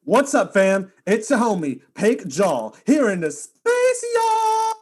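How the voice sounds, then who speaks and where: Casting: performer as goofy space vlogger, hugely animated pitch, drawn-out slow slangy delivery, audio comedy. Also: exaggerated voice. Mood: hyped. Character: goofy, upbeat galactic influencer wannabe, slangy streamer. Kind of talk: comic audio drama acting.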